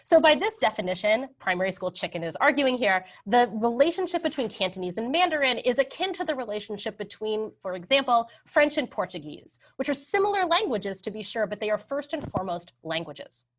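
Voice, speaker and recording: A woman in her thirties giving an academic history lecture over slides, relaxed and conversational, often checking in with "right?". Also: a little high, brisk, few pauses. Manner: conversational, frequent "um" and "right?" tags.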